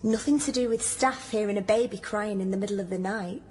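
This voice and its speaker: An actress playing a down-to-earth hospital nurse in a radio drama, with a Northern English accent and a blunt, teasing, colloquial way of talking. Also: female voice, medium pitch, brisk, a little mellow, a little hiss or hum, close to an everyday voice. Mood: storytelling. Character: down-to-earth hospital nurse, teasing, plain-spoken old friend. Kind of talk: naturalistic radio drama dialogue.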